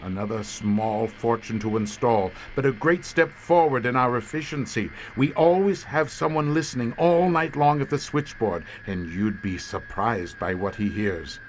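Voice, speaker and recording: An actor playing a grim, weary older commander of a secret monster-hunting police squad in an audio horror drama, speaking in florid, deliberate phrases. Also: male voice, mid-range voice, lively intonation, slight background noise, lightly acted, low-quality recording. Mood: businesslike, grim. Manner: eloquent, florid, deliberate speech.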